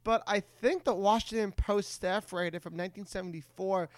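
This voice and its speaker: A man's voice: high voice, moderate pace, no echo. A man hosting a casual comedic movie podcast in an informal, teasing way, stumbling over his words now and then. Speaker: podcast host. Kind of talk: casual comedic panel chat about movies.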